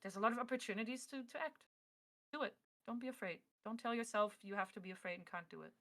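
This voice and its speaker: A woman talking casually and emphatically on a livestream panel, with an encouraging, animated manner. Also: a little high, quick pace. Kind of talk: casual panel talk, giving advice and opinion.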